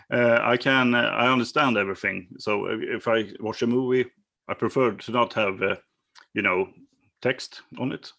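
A man's voice: medium pitch, pushed and bright. Swedish man, podcast host, non-native English, hesitant and laughing, over a call. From Sweden. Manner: halting, many fillers and restarts, laughs often. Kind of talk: casual chat. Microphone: remote call.

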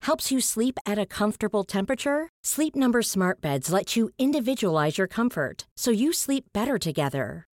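A woman's voice: mid-range voice, sing-song, normal speed, hardly any pauses, a little mellow, dry recording. Woman reading a scripted commercial, dry sound. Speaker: advertising voice-over.

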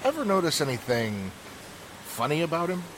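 A man's voice: on the high side, huge pitch swings, normal speed. A man acting a suspicious, insinuating factory worker in a 1940s-style radio drama. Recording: clear background noise.

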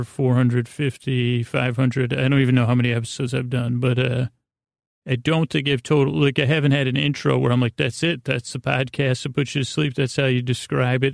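Creaky, lulling man with a flat delivery. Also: mid-range voice, no room sound, clean studio sound. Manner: lulling, meandering, halting with many self-interruptions. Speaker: podcast host. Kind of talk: rambling bedtime monologue to help listeners sleep.

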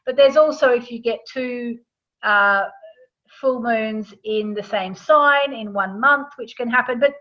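A woman with a somewhat high-pitched voice explains things as a guest in a casual interview. Her delivery is informal and conversational. The recording is a little dull and lo-fi. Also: unhurried, bright, forceful tone. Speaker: astrology author, interview guest.

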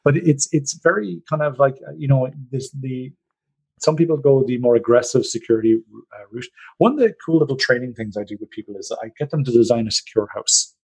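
Husky-voiced Irish man, chatty. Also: mid-range voice, a little fast, a little mellow, poor audio. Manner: rambling, chatty, jokey storyteller. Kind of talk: casual podcast chat.

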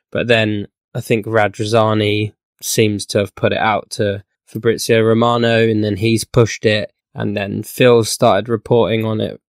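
A man with a croaky voice from illness, chatting as a podcast guest and rambling with many fillers. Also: fairly low pitch, no room sound, studio quality. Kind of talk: casual podcast chat.

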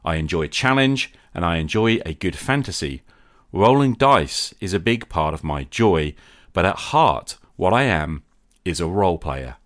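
A man hosting a podcast, giving a reflective monologue in measured, emphatic phrases with deliberate pauses. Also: deep voice, animated, fairly bright tone, no echo, clean studio sound. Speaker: podcast host.

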